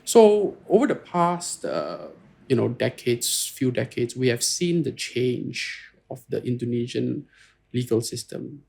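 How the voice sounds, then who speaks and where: A man's voice: medium pitch, sing-song, measured pace, gravelly, a little mellow, husky. A man with a Singaporean English accent, answering interview questions earnestly, restarting phrases and often saying "you know". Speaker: guest lawyer.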